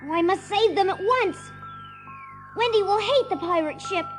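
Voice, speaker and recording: A woman's voice: very high voice, lively intonation, normal speed, over music. A woman voicing a cocky, stubborn young boy hero in an audiobook drama, earnest and headstrong. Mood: alarmed, eager.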